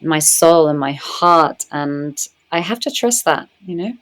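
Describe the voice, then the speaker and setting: A woman speaking as a guest in an interview, reflective and earnest, growing animated when she tells stories. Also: sits low, unhurried. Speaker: podcast guest, medicine woman. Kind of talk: conversational interview.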